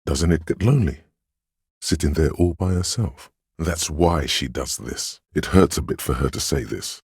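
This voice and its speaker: A man voicing a game's inner voice of empathy, a gentle, perceptive, sorrowful narrator who speaks in a measured, solemn, intimate way. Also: rumbling low, animated, breathy edge, no room sound, clean studio sound, exaggerated voice. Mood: gentle, observant. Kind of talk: scripted second-person game narration.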